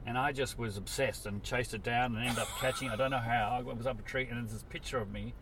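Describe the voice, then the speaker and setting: A man in his sixties, born in Scotland, chats loosely and pokes fun at himself. He sits a little back from the mic. Street and traffic sound carries clearly behind him outdoors. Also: medium pitch, fairly even intonation, fast. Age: 60s. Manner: chatty, rambling, self-deprecating humour. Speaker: interview guest. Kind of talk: casual storytelling chat. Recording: distant mic with street noise.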